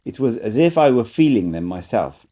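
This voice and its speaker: A man reading an audiobook in first-person narration, calm and measured. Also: mid-range voice, highs cut off. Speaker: amateur audiobook reader.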